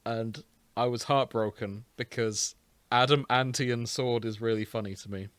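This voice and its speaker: A man's voice: mid-range voice, steady tone, moderate pace, a bit bright, clear, resonant tone, dry recording. A British man hosting a podcast, chatting casually in a wry, self-deprecating way. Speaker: podcast host. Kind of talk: casual chat.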